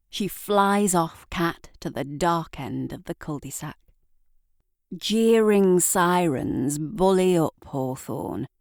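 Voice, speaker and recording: Slow, low-voiced woman narrating drama. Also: darkish tone, no echo, studio quality. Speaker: narrator and writer of an audio drama. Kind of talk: scripted dramatic literary narration.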